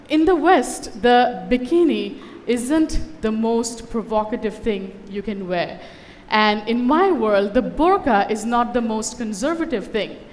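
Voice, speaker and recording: A woman's voice: fairly high pitch, big-room sound. A woman who grew up in Dubai, giving a witty, conversational personal talk on a conference stage with wry asides. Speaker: conference speaker. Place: conference stage before an audience.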